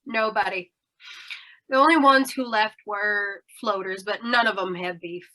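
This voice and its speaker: A woman playing a tough, suspicious aging carnival woman in radio noir style, brassy and slangy with a sharp voice. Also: mid-range voice, unhurried, low-quality recording. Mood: gruff, wary. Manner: brassy, clipped slangy talk. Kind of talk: 1940s radio noir acting.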